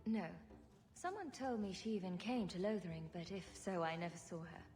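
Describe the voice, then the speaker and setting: A woman's voice: fairly low pitch, animated, normal speed, darkish tone, natural voice. An actress voicing a wisecracking woman champion in a video game, with a dry, flippant, sarcastic manner. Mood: wry, matter-of-fact.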